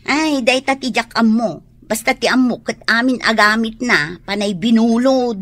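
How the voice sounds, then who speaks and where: A woman's voice: fairly high pitch, moderate pace, fairly bright tone, no room sound. A woman playing an eager, friendly village gossip in a radio drama, with an Ilocano accent. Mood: gossipy.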